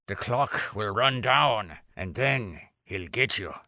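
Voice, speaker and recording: A dim, loyal pirate boatswain, as a woman reads him aloud in a storybook. He talks slowly and gravelly, with a bright, close, dry sound. His voice rises and falls a lot. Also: very deep voice, lively intonation, no echo, voice pushed down, heavily acted. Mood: plodding. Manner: slow, plodding, simple-minded.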